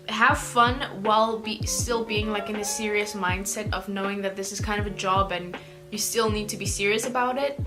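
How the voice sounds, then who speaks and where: A teenage South African girl, an Afrikaans speaker, answering viewer questions in a chatty, rambling way with lots of "like". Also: mid-range voice. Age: teens. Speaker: YouTuber. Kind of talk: casual Q&A vlog.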